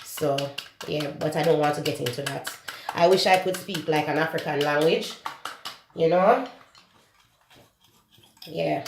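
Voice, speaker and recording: A young woman with a Jamaican accent, whose first language is Patois, talks candidly to the camera in her home kitchen. She often pauses to search for words. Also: low-pitched, vocal fry, noisy background. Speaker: beauty vlogger. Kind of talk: casual talk-to-camera beauty vlog. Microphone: camera close to the face. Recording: echoey kitchen with background music.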